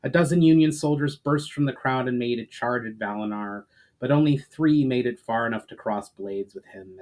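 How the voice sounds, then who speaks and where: A man speaking as an author and storyteller, moving between casual, hesitant introduction and measured dramatic narration. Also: mid-range voice, darkish tone, rough recording. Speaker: author reading own book. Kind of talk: casual chat intro, then dramatic audiobook-style narration.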